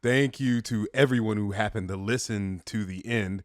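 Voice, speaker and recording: A man's voice: fairly low pitch, normal speed, dry recording, clean studio sound. A man talking casually and earnestly to listeners, an amateur voice actor with a modest manner. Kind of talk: casual closing address to listeners.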